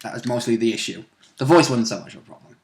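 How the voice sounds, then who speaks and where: A man's voice: mid-range voice, very fast. Joking man, casual co-host. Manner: joking, chipping in with asides. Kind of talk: casual banter.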